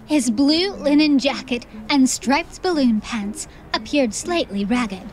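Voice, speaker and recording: A woman's voice: high voice, lively intonation, slow pace. A woman narrating a fantasy audiobook in a scripted, theatrical storytelling style. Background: soft music.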